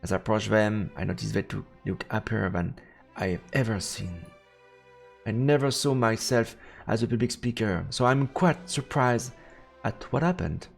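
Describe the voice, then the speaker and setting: A man's voice: lowish voice, normal speed, vocal fry. A man reading a visual novel aloud as dramatic narration on a game stream. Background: game music.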